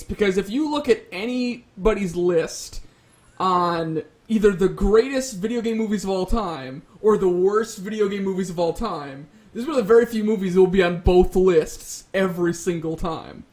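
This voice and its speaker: A man with a fairly high voice talks as a panelist on a casual debate show. He builds wordy arguments with plenty of hedging along the way. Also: animated, moderate pace. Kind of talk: casual pop-culture debate.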